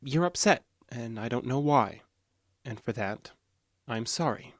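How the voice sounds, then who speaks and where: An actor playing a calm, emotionally detached boyfriend in an audio drama, speaking in a flat, hesitant and literal way. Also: male voice, medium pitch, a little slow, dry recording, studio quality. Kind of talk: naturalistic audio drama acting.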